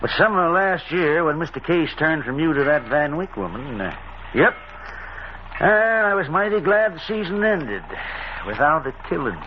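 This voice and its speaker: A rustic old boatman with a rural American drawl and lively, swinging pitch. He is gossipy and slangy, heard through a dull, faintly noisy vintage radio recording. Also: moderate pace. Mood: knowing, gossipy. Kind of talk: old-time radio drama acting.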